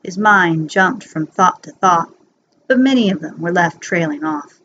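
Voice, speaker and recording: A woman's voice: on the low side, expressive, a little slow, a bit bright. Woman reading a bedtime story, slightly muffled recording. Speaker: amateur narrator reading fan fiction aloud. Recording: dull lo-fi home recording, faint noise.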